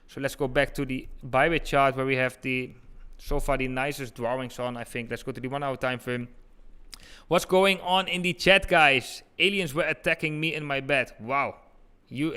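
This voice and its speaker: Bright, clear-voiced man with Dutch accent. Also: on the high side. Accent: eastern Dutch. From eastern Netherlands. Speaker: crypto trading livestream host. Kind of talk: live chart commentary and chat with viewers.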